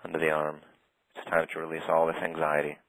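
A man guiding a tapping session in a calm, steady way, naming tapping points and saying short phrases for the other person to repeat. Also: very deep voice, no room sound, low-quality recording. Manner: calm, slow, leads phrases for repetition. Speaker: tapping practitioner.

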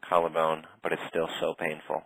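A man guiding a tapping session in a calm, steady way, naming tapping points and saying short phrases for the other person to repeat. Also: extremely low pitch, creaky, breathy edge, no room sound, rough recording. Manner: calm, slow, leads phrases for repetition. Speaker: tapping practitioner.

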